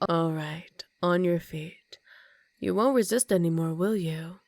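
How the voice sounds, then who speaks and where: Low, whispery, seductive vampire countess, acted by a woman. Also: a little mellow, no echo, studio quality. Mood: commanding, smug. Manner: slow teasing, dominant purr, often dropping into whispers. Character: seductive, commanding female vampire countess, ancient and predatory. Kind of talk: ASMR roleplay, intimate and partly whispered.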